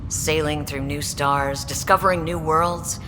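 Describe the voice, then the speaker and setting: A man's voice: fairly high pitch, fairly even intonation, measured pace, bright, forceful tone. A man playing an eager, proud explorer who loves the job, in a video game. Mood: wistful, eager. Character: enthusiastic explorer, proud and wistful. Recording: reverberant hall with clear noise.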